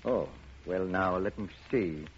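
A man's voice: low voice, a little slow, slightly rough. An actor playing an indulgent, hesitant older father who fusses and repeats himself in a radio drama. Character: indulgent, flustered older father, a retired banker. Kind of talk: 1950s radio drama acting. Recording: muffled, noisy old radio recording.

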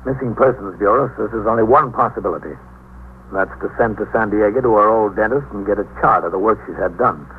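A low-pitched American actor playing a police captain comes through a narrow, tinny old radio broadcast. He is steady and businesslike, reporting events in measured, matter-of-fact radio drama style. A clear layer of noise sits on the recording. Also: close to an everyday voice. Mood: patient, firm. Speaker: narrator and lead actor. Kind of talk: 1950s radio police drama acting and narration. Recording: muffled, noisy 1950s radio broadcast.

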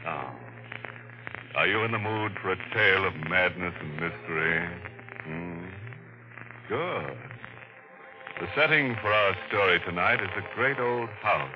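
An actor playing a macabre, tongue-in-cheek horror host, theatrical and drawn-out, in the style of 1940s radio. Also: male voice, deep voice. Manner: theatrical, drawn-out delivery with morbid jokes. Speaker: radio mystery show host. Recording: muffled, noisy 1940s radio broadcast.

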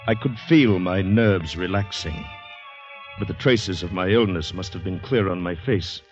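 Deep-voiced anxious young soldier. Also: male voice, unhurried, soft background music. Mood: narrating. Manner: hesitant, polite, nervous. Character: anxious young Canadian soldier recovering from shell shock. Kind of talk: 1940s radio drama acting and narration. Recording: dull, roomy old radio recording.

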